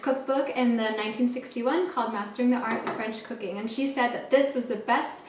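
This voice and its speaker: A woman's voice: fairly high pitch, brisk. A young adult woman co-hosting a cooking show, presenting information in a chatty, informal explanatory way. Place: home kitchen, indoors. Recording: echoey kitchen, distant mic, faint noise.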